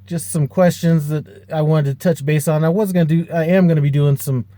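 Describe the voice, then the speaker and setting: A man's voice: a little high, fairly even intonation, a little fast, dark tone, no room sound. A man in his fifties, a truck driver, chatting casually to camera in a rambling way with lots of fillers and restarts. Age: 50s. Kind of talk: casual vlog chat.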